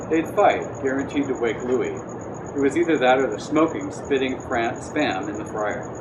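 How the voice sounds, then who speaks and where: A man's voice: medium pitch, highs cut off. A man reading aloud from his own novel as its author at a live literary event. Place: bookstore cafe reading event. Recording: distant mic, very loud room noise.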